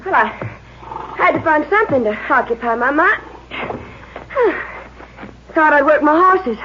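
An American actress playing a hot-tempered, evasive young horsewoman, sharp and defensive, in an old-time radio drama. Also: high-pitched, big pitch swings, a little slow, exaggerated voice. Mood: defensive, curt. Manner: sharp, defensive, hesitant when pressed. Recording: muffled, noisy old radio recording.

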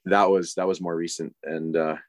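A man's voice: deep voice, little pitch movement, normal speed, dry recording, studio quality. A man in his forties, a guest on a podcast, chatting casually and rambling with many fillers and restarts. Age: 40s. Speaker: podcast guest, diver living on a boat. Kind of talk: casual interview chat.

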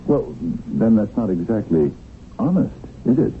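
Low-voiced, stammering, wavering swindler. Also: male voice, big pitch swings, unhurried, phone-quality sound. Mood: nervous wavering. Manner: hesitant, stammering. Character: wavering weak-willed male swindler, nervous and self-justifying. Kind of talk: radio drama acting. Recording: thin radio broadcast, faint hiss.

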